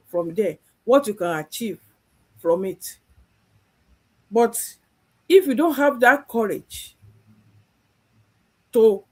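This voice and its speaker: A husky woman from Nigeria hosts a live stream. She speaks earnestly and circles back over her points. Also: a little high. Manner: earnest, repeats herself. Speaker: host.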